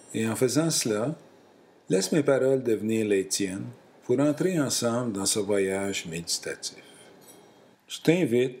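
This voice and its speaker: A man leading a guided relaxation in a calm, soothing and deliberate way, in short phrases. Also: mid-range voice. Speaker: guided meditation instructor.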